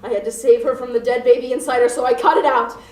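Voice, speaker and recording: Woman playing desperate, unhinged female captor in a radio play; higher voice, melodramatic, roomy distant recording. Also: steady tone, quick pace, natural voice. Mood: frantic. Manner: melodramatic, swinging between hushed recollection and frantic screaming. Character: unhinged, desperate female hostage-taker confessing her crimes. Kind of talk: student radio play acting, dramatic monologue.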